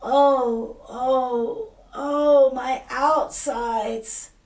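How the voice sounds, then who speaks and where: A woman voicing a tormented pregnant goddess queen of the underworld, with drawn-out moaning cries. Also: on the high side, big pitch swings, a little mellow, pitched above the performer's own voice. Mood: anguished. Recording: roomy echo, distant mic.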